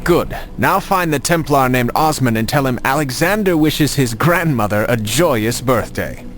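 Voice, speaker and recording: An actor playing a shrewd resistance ally in a video game, giving instructions in a deliberate, wry manner. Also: male voice, mid-range voice, lively intonation, music in the background, natural voice. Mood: shrewd, approving. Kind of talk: scripted game cutscene dialogue.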